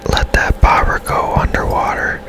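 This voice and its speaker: A man whispering ASMR commentary as he plays a game, hushed and unhurried, musing aloud as he goes. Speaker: gaming ASMR host.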